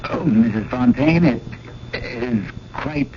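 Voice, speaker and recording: A man's voice: medium pitch, slow pace, close to an everyday voice. A man playing a skeptical, impatient museum curator, formal and dryly exasperated, in a 1980s radio drama. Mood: uneasily polite. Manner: dry, exasperated, formal, hedging politely. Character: impatient, skeptical, polite but stuffy male museum curator. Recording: muffled old radio broadcast, clear hiss.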